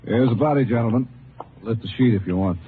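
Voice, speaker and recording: A man playing a brisk, matter-of-fact town doctor in an old radio western. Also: mid-range voice, natural voice. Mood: businesslike. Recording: tinny, noisy old radio recording.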